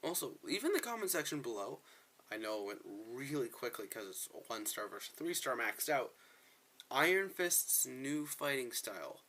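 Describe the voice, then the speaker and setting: A man's voice: medium pitch, big pitch swings, normal speed, sharp tone. A man giving casual gameplay commentary as a video host, chatting to viewers in a rambling, self-correcting way.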